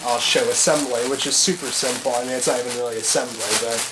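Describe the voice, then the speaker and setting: A man with a cold, sounding congested, talking through an unboxing at home in a halting, unscripted way with many pauses and fillers. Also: mid-range voice, fairly even intonation, very noisy. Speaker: vacuum hobbyist doing an unboxing.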